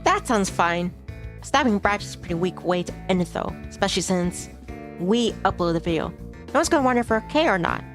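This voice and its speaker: A woman's voice: mid-range voice, expressive, a little fast, lightly acted. A woman reading a wry, skeptical young woman's lines aloud from a visual novel. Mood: dry. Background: game music.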